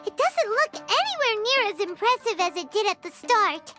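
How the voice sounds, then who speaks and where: A woman voicing a tiny excitable floating girl companion in a game, chirpy, blunt and easily startled. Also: very high voice, normal speed, over music. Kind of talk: game voice acting.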